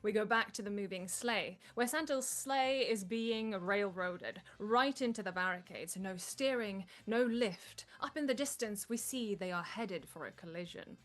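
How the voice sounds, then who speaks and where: A woman's voice: mid-range voice, measured pace, few pauses, a bit bright. A woman reading stage directions as the narrator of a live script table read.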